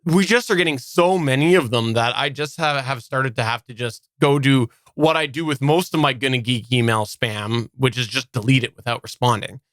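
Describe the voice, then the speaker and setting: Bright, crisp-voiced man co-hosting a podcast. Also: mid-range voice, dry recording. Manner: halting, repeats words as he goes. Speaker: podcast co-host. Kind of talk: casual live podcast chat.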